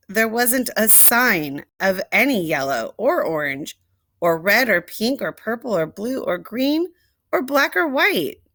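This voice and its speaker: A woman storyteller reading a picture book expressively to young children, deliberately, with asides and questions to the listeners. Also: fairly high pitch, fairly bright tone, dry recording, clean studio sound. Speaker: read-aloud storyteller.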